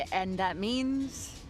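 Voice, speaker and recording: A woman playing a world-weary, sarcastic police detective in an audio drama, blunt and informal. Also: mid-range voice. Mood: exasperated.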